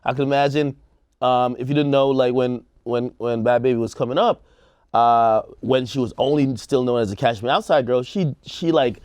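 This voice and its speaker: A man's voice: mid-range voice, fairly even intonation, moderate pace, a little mellow, no room sound. A man co-hosting a music news show, talking in a casual, slangy way as he thinks aloud. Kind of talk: casual panel discussion, slangy commentary.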